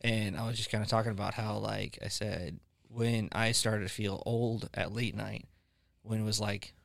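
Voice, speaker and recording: A man's voice: fairly low pitch, steady tone, moderate pace, gravelly, no echo, clean studio sound. A man, hosting a casual podcast chat and rambling with many restarts. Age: 20s. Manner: rambling, restarts often, laughs easily. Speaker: podcast host.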